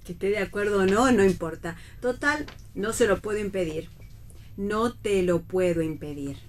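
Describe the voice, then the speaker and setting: Actress, older proper mother, radio play, mid-range pitch, prim, touchy, resigned. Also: moderate pace, slight background noise, natural voice. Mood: hurt, resigned. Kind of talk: staged reading of a radio play.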